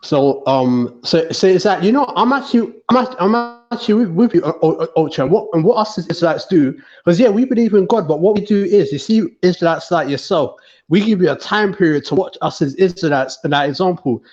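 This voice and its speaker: A man's voice: high-pitched, lively intonation, brisk, darkish tone, lo-fi sound. A man from Montserrat on an online panel debate, preaching his view with many repeats and restarts. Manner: repetitive, restarts often, preachy.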